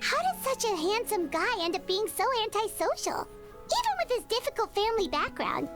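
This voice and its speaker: A woman's voice: very high voice, wildly swooping pitch, normal speed, heavily acted. A woman voicing a tiny, bubbly floating fairy companion who refers to herself in the third person, in a game. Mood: chatty. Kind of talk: game voice acting. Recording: game audio with background music.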